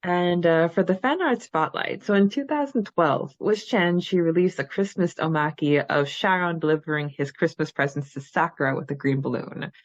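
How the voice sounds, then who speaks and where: Giggly woman podcaster, slightly low dull sound. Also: normal speed, hardly any pauses, dry recording. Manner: giggly, chatty. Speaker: podcast co-host. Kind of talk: casual chat about a fan fiction.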